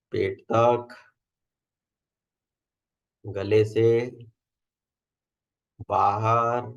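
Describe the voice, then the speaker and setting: A man with an Indian accent guides a meditation. His voice is on the low side with a noticeable creaky fry. He speaks extremely slowly, in soothing repeated instructions, leaving long pauses between phrases.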